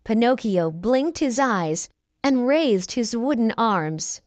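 Slow expressive woman reading a storybook. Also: fairly high pitch, no echo. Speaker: children's book reader. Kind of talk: storybook read-aloud narration.

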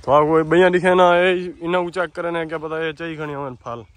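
A man's voice: on the high side, steady tone, brisk, dry recording. A young man in his twenties with a Saraiki accent, hosting a casual village vlog and chatting straight to the camera. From Pakistan. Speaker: vlogger host. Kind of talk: casual village vlog, explaining date palm pollination. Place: outdoors in a village farm among date palms.

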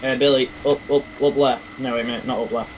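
A man's voice: mid-range voice, little pitch movement, quick pace, slight background noise, poor audio. A young man chatting casually and teasingly as co-host of a gaming video, in a dry manner. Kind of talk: casual gameplay chat.

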